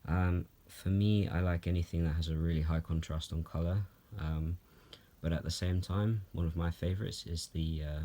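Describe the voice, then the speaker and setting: A young man from Norway, answering viewer questions about his reptiles at home in a calm, unhurried way, with many ums and soft laughs. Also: low-pitched. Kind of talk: relaxed Q&A vlog. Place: at home, handling snakes while filming.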